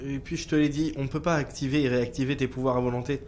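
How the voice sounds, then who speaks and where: An actor playing a laid-back, irreverent technician in an audio drama, casual and slangy. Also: male voice, medium pitch, fairly even intonation, fast talker, a little mellow, a little hiss or hum, lightly acted. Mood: breezy, irreverent. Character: laid-back, irreverent male tech geek.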